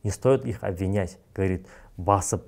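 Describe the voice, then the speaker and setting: A young man with a Kazakh accent talks as a podcast guest in a fairly low voice. His delivery is blunt and casual, full of slang. He is recorded on a studio lapel mic. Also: moderate pace, vocal fry, darkish tone. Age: young adult.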